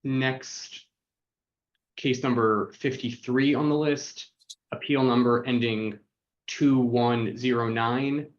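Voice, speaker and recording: A man's voice: mid-range voice, steady tone, slow pace, highs cut off. A man, a city attorney, reading case numbers and addresses in a formal, methodical way at a public hearing over a video call.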